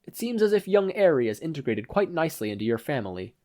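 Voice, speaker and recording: A man's voice: a little high, big pitch swings, fast, mellow, pitched above the performer's own voice. Man playing a kindly, concerned hero mentor. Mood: gentle concern.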